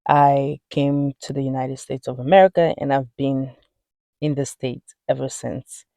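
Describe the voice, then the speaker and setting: Nigerian woman vlogging, slow and earnest, lots of "you know", dry close sound. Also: very deep voice, fairly even intonation, gravelly, warm tone, no room sound. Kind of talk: casual talk to camera.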